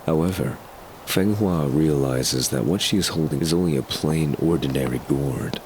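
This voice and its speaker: Extremely deep-voiced male narrator. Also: moderate pace, a little mellow. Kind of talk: scripted audio drama narration. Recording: clear background ambience noise.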